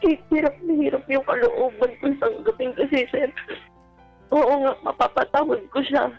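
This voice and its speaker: A Filipino woman speaks as a caller on a radio advice show, her voice full of fry. She tells a personal story in a polite, deferential, conversational way. The sound is dull and lo-fi, with music playing behind her. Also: high-pitched, steady tone, moderate pace. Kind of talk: emotional personal account, conversational. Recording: dull lo-fi call audio with music.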